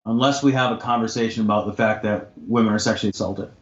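A man's voice: on the low side, fairly even intonation, quick pace. A man in a podcast conversation, talking in an assertive, impassioned way that turns to swearing when he gets heated. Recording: roomy, dull lo-fi sound.

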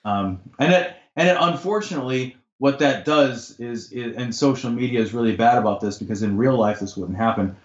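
A man's voice: mid-range voice, brisk, hardly any pauses. Man, assertive, impassioned, podcast talk, roomy echo, muffled lo-fi sound. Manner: assertive, impassioned, swears when heated. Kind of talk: podcast conversation.

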